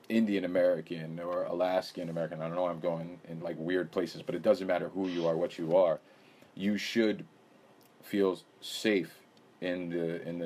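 A man's voice: low voice, a little mellow. A man hosting a podcast interview in a casual, rambling way, hedging and laughing often. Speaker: podcast host.

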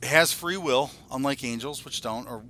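A man's voice: mid-range voice, normal speed, pushed and bright. A man in his forties co-hosting a podcast, ranting casually in a blunt, profane, irreverent way. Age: 40s. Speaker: podcast co-host.

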